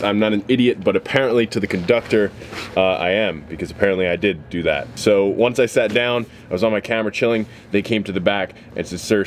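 Fast-talking young man vlogging. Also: on the low side, a bit bright, slight background noise. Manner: fast, chatty storytelling. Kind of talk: casual vlog to camera. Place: outdoors at a train station. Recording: background music under voice.

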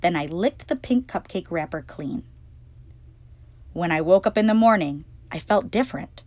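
A woman hosting storytime, reading a children's picture book aloud with expressive, clearly phrased delivery. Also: on the low side, moderate pace, no room sound. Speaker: storytime reader and host.

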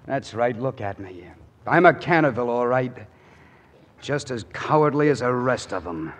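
An actor playing a nervous, self-doubting young soldier with plain colloquial speech in a 1940s radio drama. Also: male voice, mid-range voice, expressive, moderate pace, close to an everyday voice. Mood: dejected. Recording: vintage 1940s radio broadcast.

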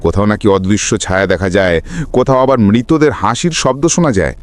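A man narrating a scripted horror story in a dramatic, suspenseful, expressive way. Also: on the low side, a little fast.

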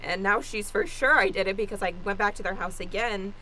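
A woman's voice: mid-range voice, steady tone, fairly quick, pushed and bright, a little hiss or hum, close to an everyday voice, rough recording. An actress playing an anxious young woman on the run, stammering and rambling in an improvised radio play. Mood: agitated, rambling. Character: Zoe, frazzled anxious young woman fugitive. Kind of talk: improvised old-time radio play acting.

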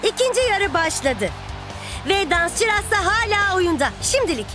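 A crisp female football commentator from a cartoon. Her voice is extremely high as she announces the match, with music clearly playing behind her. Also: sing-song, moderate pace. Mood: announcing. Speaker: sports commentator.

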